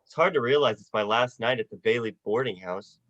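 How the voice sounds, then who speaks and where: A man playing an earnest, restless young man with big dreams in a radio play, speaking haltingly. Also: mid-range voice, fairly bright tone, no echo, lo-fi sound, close to an everyday voice. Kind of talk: 1940s-style radio play acting.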